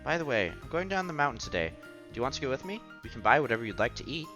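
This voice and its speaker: A man's voice: medium pitch, big pitch swings, very fast. A man streaming a visual novel, reading the text aloud with casual commentary and stumbling over words now and then. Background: game music.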